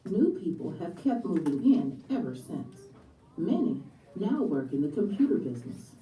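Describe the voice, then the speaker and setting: A woman narrates an educational textbook in a low, very dark and soft-edged voice. Her intonation rises and falls in a lively way, and the sound is distant and echoey, as if played back in a room. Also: normal speed, slight background noise. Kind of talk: scripted educational read-aloud narration.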